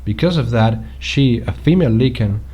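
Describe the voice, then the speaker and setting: A man playing a polite, deadpan houseguest who explains monster lore formally in a comedy-horror audio drama. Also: medium pitch, normal speed, husky. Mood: matter-of-fact. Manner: formal, matter-of-fact.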